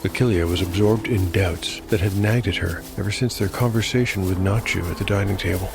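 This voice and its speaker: A man reading scripted dark fantasy narration in a measured storytelling manner. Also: low-pitched, vocal fry, background noise. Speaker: narrator and host. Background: music.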